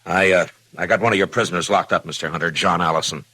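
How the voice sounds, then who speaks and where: An American man in a vintage radio western, playing a steady, conscientious frontier marshal with a plainspoken, halting, grave manner. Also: low-pitched, no echo. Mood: businesslike. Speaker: radio actor. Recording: vintage radio recording, dry studio sound.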